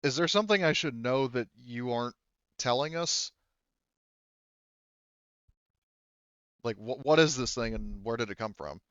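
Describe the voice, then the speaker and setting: A man voicing a businesslike female science officer in a tabletop game, asking formal questions in a halting way. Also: mid-range voice, expressive, a bit bright, clean tone, no room sound, lo-fi sound, natural voice. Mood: probing.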